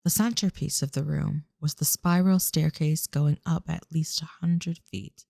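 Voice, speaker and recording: A man's voice: on the high side, fairly even intonation, a little slow, vocal fry, darkish tone, dry recording, studio quality. A man reading scripted third-person fantasy narration for an audio drama.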